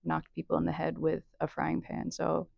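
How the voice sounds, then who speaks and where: A woman's voice: low-pitched, completely flat delivery, normal speed, creaky, husky, no echo, lo-fi sound. A twenty-year-old woman answering interview questions casually, starting with many hesitant fillers. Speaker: contestant.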